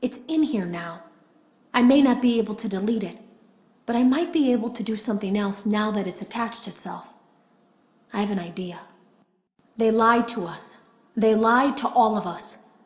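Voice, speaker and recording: A woman playing a wary, hushed beta tester in an echoey room. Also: medium pitch, moderate pace, pauses often, lightly acted. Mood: urgent, resolute. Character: anxious female game beta tester leaving logs. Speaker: game tester recording logs. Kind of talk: scripted game voice acting.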